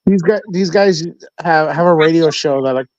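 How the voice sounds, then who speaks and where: A man's voice: on the high side, normal speed, a little mellow, no echo. Rambling male host, muffled lo-fi sound. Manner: rambling, with many fillers and restarts. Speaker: livestream host. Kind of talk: casual chat. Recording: dull lo-fi audio.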